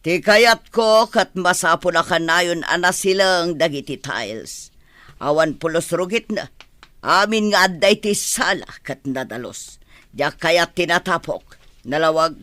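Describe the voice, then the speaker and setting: A woman acting a bossy, exacting madam and mother in an Ilocano radio comedy drama, commanding and brisk. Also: fairly low pitch, lively intonation, a bit bright, no echo.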